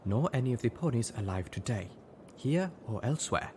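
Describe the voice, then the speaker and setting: A man's voice: mid-range voice, animated, brisk, mellow, slight background noise, pitched above the performer's own voice, close to an everyday voice. A man voicing a reserved, stoic female zebra who speaks formally and plainly in a story reading. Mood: calm, measured. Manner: formal, plain-spoken, without contractions.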